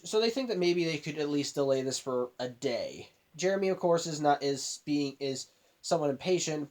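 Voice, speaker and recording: A man with a cold and a nasal voice, casually recapping a cartoon episode for his vlog, rambling with frequent restarts and self-corrections. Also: mid-range voice. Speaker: show reviewer.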